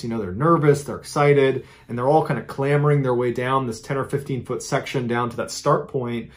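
Man telling a dark true story. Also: medium pitch. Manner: animated, conversational retelling with dramatic emphasis. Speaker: storyteller host. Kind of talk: true-crime storytelling to camera. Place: indoor studio with a green-screen backdrop.

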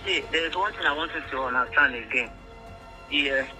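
A man's voice: on the high side, brisk. A man with a Nigerian accent talking earnestly in a mix of English and Pidgin, pleading and emphatic, often repeating his points. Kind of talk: earnest phone conversation in mixed English and Pidgin. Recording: phone call with music behind.

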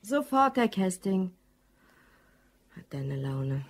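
Casting: down-to-earth secretary in a radio play, slightly husky, natural delivery. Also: female voice, mid-range voice, sing-song, slow pace, heavily acted. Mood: confiding. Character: patient, practical female secretary dreaming of her own business. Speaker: actress in a crime audio drama. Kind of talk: German radio play dialogue.

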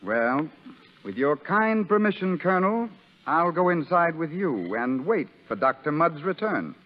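High-pitched, formal army lieutenant. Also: male voice, very animated, a little slow. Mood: brisk, official. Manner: formal, businesslike. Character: polite but firm Union army lieutenant, adult man. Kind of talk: 1930s radio acting. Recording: dull 1930s radio recording, long reverb.

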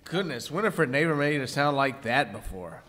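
A man's voice: medium pitch, big pitch swings, normal speed, a bit bright. A man playing a fussy, fretful tourist who dotes on a pet, in a radio skit. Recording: echoey hall.

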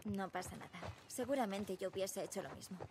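A woman's voice: medium pitch, brisk, background noise, close to an everyday voice. An actress playing an outspoken, friendly fourteen-year-old girl survivor in a video game dub. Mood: friendly, curious.

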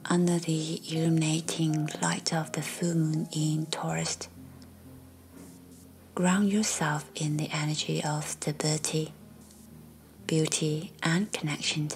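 A woman guiding a meditation in a soft, breathy, half-whispered voice, speaking gently in measured short phrases with long pauses. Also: low voice, little pitch movement. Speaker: meditation guide. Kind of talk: guided meditation, slow scripted narration. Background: faint background music.

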